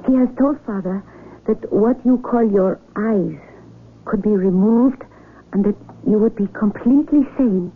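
An actress playing a devoted young sweetheart in a radio drama, tender, imploring and melodramatic. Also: female voice, mid-range voice, a little slow, phone-quality sound, a little hiss or hum, close to an everyday voice. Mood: hesitant. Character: devoted young sweetheart, pleading gently to be married. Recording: thin, band-limited old radio recording.

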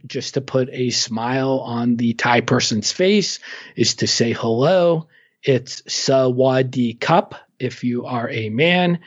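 A man giving relaxed, informative travel advice in a casual conversation, with frequent fillers. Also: medium pitch, slightly rough, highs cut off. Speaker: travel guest expert.

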